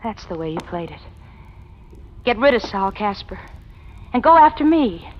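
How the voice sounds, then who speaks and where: A woman's voice: mid-range voice, sing-song, moderate pace, lightly acted. Lady doing a noir crook's girlfriend, emotional, voice goes up and down a lot, dull hissy old radio sound. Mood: bitter, defiant.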